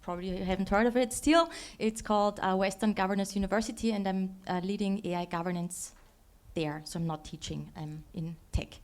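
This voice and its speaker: A woman's voice: mid-range voice, a little fast. A woman from Austria, speaking as a panelist on a conference stage, thoughtful and correcting herself as she searches for words.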